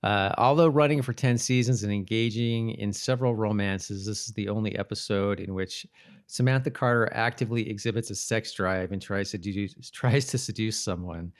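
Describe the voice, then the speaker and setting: Male co-host, slightly deep voice, casual and halting conversational delivery. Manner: informal, halting. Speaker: podcast co-host. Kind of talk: casual co-hosted chat.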